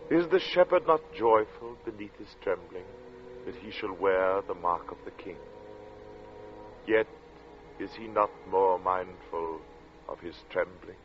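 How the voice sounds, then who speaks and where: Casting: solemn, literary storyteller for vintage radio drama; low voice, theatrical delivery, muffled old recording. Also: male voice. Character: earnest male narrator recounting a strange encounter.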